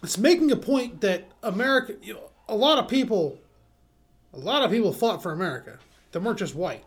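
A man's voice: high voice, animated. A man co-hosting a casual podcast chat, swearing freely, laughing often and stumbling through his thoughts with lots of fillers. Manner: profane, laughs often, halting with many fillers. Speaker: podcast co-host.

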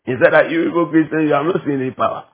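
A man's voice: fairly high pitch, fairly even intonation, very fast, husky, phone-quality sound. A man from Ghana with a Ghanaian accent preaching a teaching sermon, emphatic and repeating phrases to drive them home.

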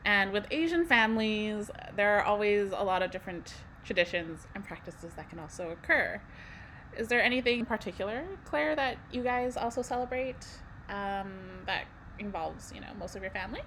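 A woman's voice: medium pitch, expressive, moderate pace, pushed and bright, low-quality recording. Young woman, from Canada, podcast co-host, lots of ums, faint hiss behind. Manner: conversational, many fillers, light laughs. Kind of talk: casual podcast chat.

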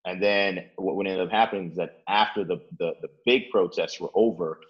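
A man's voice: sits low, fairly even intonation, fairly quick, highs cut off. A young man from Chicago, answering interview questions at home in loose casual talk full of "like" and "uh". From Chicago, Illinois. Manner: rambling, many fillers like "like" and "uh", restarts. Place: his apartment.

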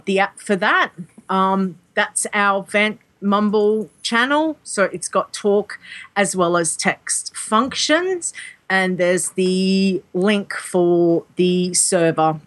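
Slow-talking woman co-host. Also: medium pitch, fairly bright tone. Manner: unhurried, explains contest details step by step. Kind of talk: casual podcast chat.

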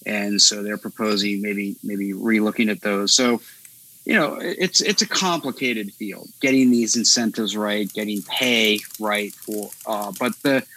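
Lower-voiced, bright-toned man musing on a podcast. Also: unhurried, poor audio. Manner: thoughtful, meandering, many fillers. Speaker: podcast co-host. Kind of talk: casual conversation.

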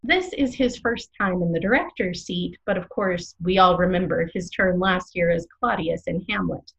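A woman playing an excitable, opinionated small-town arts radio co-host, theatrical and playfully dramatic in scripted comic banter. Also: on the low side, normal speed, gravelly, poor audio. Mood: chatty, dramatic.

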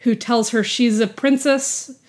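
A woman's voice: a little high, fairly even intonation, a little slow, a little mellow. A woman co-hosting a movie podcast in casual chat, recapping a plot in a rambling way and laughing often. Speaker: podcast co-host.

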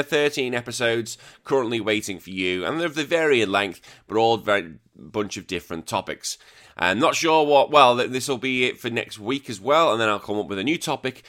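A man hosting a retro gaming podcast in casual chat, a bit croaky, blunt and joking, swearing freely. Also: mid-range voice, a bit bright. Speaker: podcast host.

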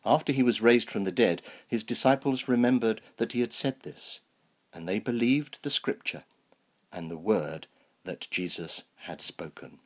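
A clergyman with a fairly low, noticeably husky voice. He speaks as if from prepared notes, measured and explanatory, in the style of a sermon or a reading from scripture. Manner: measured, explanatory, rhetorical questions. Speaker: clergyman, Anglican canon.

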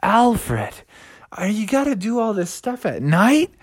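A woman's voice: mid-range voice, big pitch swings, slow, husky, no room sound, studio quality, pitched above the performer's own voice, heavily acted. A woman voicing a grumbly but game young male bear in a children's story, easily exasperated. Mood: weary.

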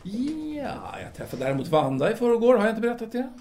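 A man's voice: very high voice, very animated, vocal fry, darkish tone, a little hiss or hum, full character voice. A man playing a self-absorbed intellectual philosopher in an audio drama, speaking formally and hesitantly, wordy and absent-minded. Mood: rationalizing.

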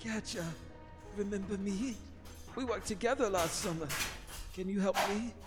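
Actor as high-voiced pleading male beggar. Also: normal speed, faint music behind, close to an everyday voice. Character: pleading, down-on-his-luck man begging for help.

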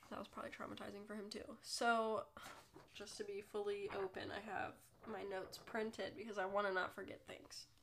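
A woman's voice: medium pitch, a bit bright, slight background noise. A young woman hosting her own podcast, congested from illness, chatting casually in a rambling, self-deprecating way. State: congested from a cold. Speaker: podcast host. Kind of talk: casual solo podcast chat.

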